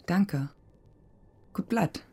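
Radio play role: terse, wary woman, lowish creaky voice, understated and natural. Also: expressive, extremely slow, warm tone, heavily acted. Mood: reserved, polite. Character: terse, guarded woman buying an illegal gun. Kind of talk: naturalistic radio drama acting.

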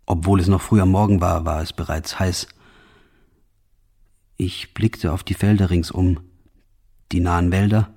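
An actor playing a reluctant, disillusioned soldier, narrating a radio drama monologue in a quiet, resigned, brooding manner. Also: male voice, low-pitched, vocal fry, husky, exaggerated voice. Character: reluctant, disillusioned soldier, former locksmith, male, weary.

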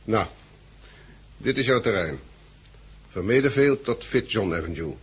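An actor playing a bossy, callous officer in a 1960s radio drama, curt and commanding, with a husky voice. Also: male voice, lowish voice, animated, moderate pace, lo-fi sound. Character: bossy, callous gang officer handing out orders.

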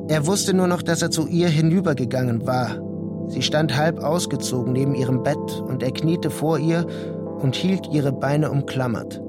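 Guy narrating a literary radio drama, voice a bit high, measured phrasing, loud music underneath.

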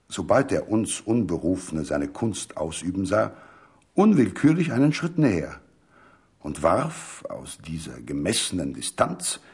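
A man reading literary narration for a radio production in an articulate, measured and expressive storytelling manner. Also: sits low, slightly rough.

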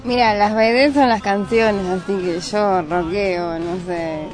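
A woman's voice: mid-range voice, fairly even intonation, takes it slowly, faint music behind. A woman with an Argentine Spanish accent, a band guitarist, chatting easily in a radio interview with casual fillers. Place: radio studio.

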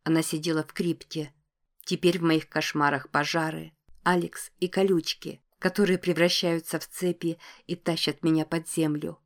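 A woman narrates a first-person novel. Her voice sits fairly low and keeps to a narrow, steady pitch, in a scripted audiobook style. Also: moderate pace.

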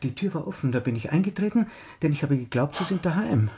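An actor playing an anxious, weary ex-soldier burdened by guilt, with a husky voice, in a radio drama. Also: male voice, fairly high pitch, expressive, fast, warm tone, heavily acted. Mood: alarmed.

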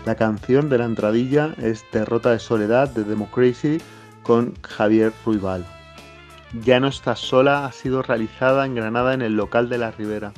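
A man's voice: mid-range voice, normal speed, darkish tone, faint music behind. A man with an accent from Spain reading scripted radio credits as an announcer. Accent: Spanish, from Spain.

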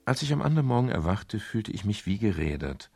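An actor playing a dry, weary, sardonic private detective in a 1970s German radio crime drama, in dialogue and first-person narration. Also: male voice, fairly low pitch, sing-song, a little fast, gravelly, darkish tone, slightly rough, soft background music, full character voice. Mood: wry, resigned. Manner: laconic, sardonic.

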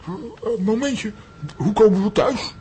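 High-voiced pompous, flustered adventurer. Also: male voice, measured pace, mellow, husky, heavily acted. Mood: anxious. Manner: hesitant, stammering, self-important. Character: pompous, flustered comic middle-aged adventurer. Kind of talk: children's audio drama.

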